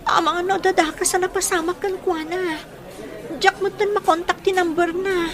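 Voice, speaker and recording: A woman's voice: very high-pitched, fairly even intonation, moderate pace, a crowd in the background. An actress playing a trusting, anxious young girlfriend in a radio drama, with an Ilocano accent.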